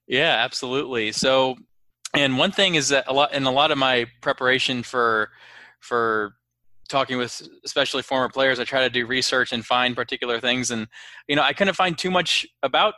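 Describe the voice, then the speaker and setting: A man's voice: medium pitch, moderate pace, sharp tone, dry recording. A man hosting a podcast interview in casual chat, phrasing his introductions and questions loosely and a little hesitantly. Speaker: podcast host.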